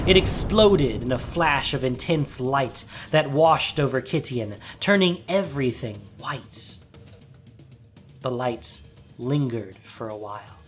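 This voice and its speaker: A man reading a scripted fantasy story as narrator, with measured, deliberate, suspenseful phrasing. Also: on the high side. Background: soft music.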